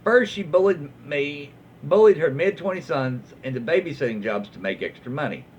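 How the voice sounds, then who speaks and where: Folksy Southern US man, highish animated voice, rambling casual story reader, dull lo-fi recording. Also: normal speed. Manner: folksy, rambling, drawling slang. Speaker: host reading online stories aloud. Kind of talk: casual story reading with asides. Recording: dull lo-fi audio with faint noise.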